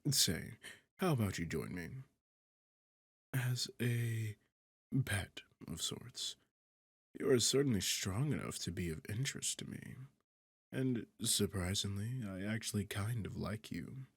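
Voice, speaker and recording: A sardonic demon king, acted by a man, low and gravelly, speaks close and dry in an intimate fantasy audio roleplay. He takes his time, teasing and condescending, with a bored, seductive arrogance. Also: lively intonation, a little slow, no echo, full character voice. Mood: teasing, amused.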